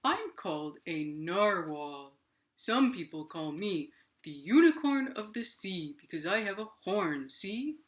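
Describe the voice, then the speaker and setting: A woman's voice: lowish voice, big pitch swings, slow. A woman voicing a friendly, chatty narwhal puppet in a children's puppet show, proud of its horn.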